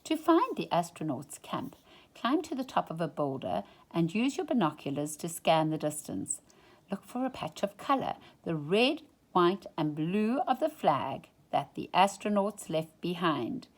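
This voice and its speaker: Woman, lower voice, slow pace, very lively intonation, dramatic children's storytime read-aloud. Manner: dramatic, drops into hushed whispers for suspense. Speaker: storytime reader. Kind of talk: expressive picture-book read-aloud for children.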